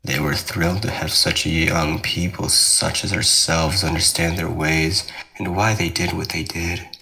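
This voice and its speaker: A man playing a young ghost twin in an ASMR roleplay, taunting and obsessive, speaking with measured, coaxing menace. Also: deep voice, level tone, gravelly, sharp tone, heavily acted, rough recording. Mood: darkly fascinated.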